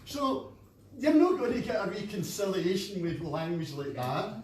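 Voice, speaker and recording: Scottish man from the audience, chatty anecdotal rambler, fairly high voice, roomy distant sound. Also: animated, moderate pace, a little mellow. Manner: chatty, anecdotal, laughs at himself. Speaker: audience member. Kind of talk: rambling floor comment. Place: meeting room at a conference fringe event. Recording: echoey room, distant, faint noise.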